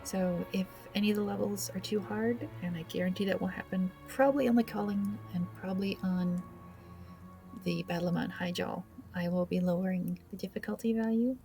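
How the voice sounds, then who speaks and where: Hesitant, creaky-voiced woman gamer. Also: medium pitch, steady tone, fairly quick, dark tone, soft background music. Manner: awkward, hesitant, self-deprecating. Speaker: gaming video host. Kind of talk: casual let's play commentary.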